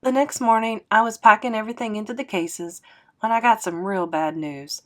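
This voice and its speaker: A woman reading a children's novel aloud as a teacher, in an expressive narrating style. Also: mid-range voice, moderate pace.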